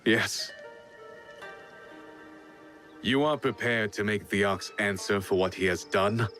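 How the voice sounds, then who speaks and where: A man acts a noble, weary samurai from a video game. His voice sits low and he speaks with measured sincerity. Music plays clearly underneath. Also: a bit bright, close to an everyday voice. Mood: somber. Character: weary, honourable samurai warrior torn over an old friend. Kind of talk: video game cutscene acting. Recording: music behind the voice.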